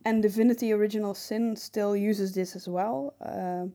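Mid-pitched woman in casual podcast talk. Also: steady tone, moderate pace, warm tone. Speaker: podcast co-host.

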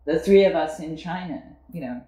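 A South African woman in her forties, a guest telling her story in a relaxed interview, thoughtful and full of fillers. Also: low voice, moderate pace, echoey room. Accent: South African English. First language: English. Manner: reflective, with frequent fillers and laughs. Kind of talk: casual conversational interview.

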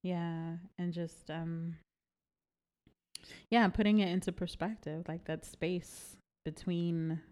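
Low-pitched woman, thoughtful literary guest, casual and laughing often. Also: fairly even intonation, slow pace, a little mellow, clean tone. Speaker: guest poet and scholar. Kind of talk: casual discussion of a poem.

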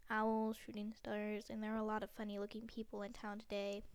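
A woman's voice: medium pitch, monotone, fairly quick, clear, resonant tone, lightly acted. A woman reading aloud, voicing a nervous, stuffy middle-aged husband who stammers. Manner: stammering, hesitant.

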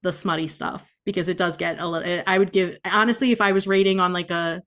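A woman's voice: fairly low pitch, steady tone, fast talker, fairly bright tone. A woman co-hosting a podcast, giving informal, opinionated reviews in casual conversation. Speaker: podcast co-host. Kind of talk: casual book-review chat.

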